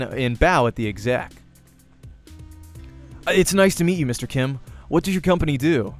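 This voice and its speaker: A man reading a visual novel aloud as a let's-player, narrating the game story expressively. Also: mid-range voice.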